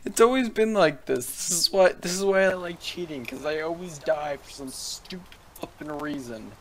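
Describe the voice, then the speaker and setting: A man's voice: a little high, moderate pace, slight background noise. A young man chatting casually while playing a game, swearing and laughing often. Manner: excitable, swears, yells and laughs. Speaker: gamer co-host. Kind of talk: casual gameplay chat.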